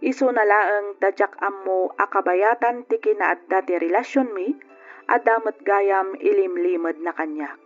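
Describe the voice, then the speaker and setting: A woman's voice: mid-range voice, unhurried, lightly acted. A woman with an Ilocano accent playing a confiding narrator who tells a love story in an old radio drama. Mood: reflective. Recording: dull lo-fi radio recording. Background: faint music.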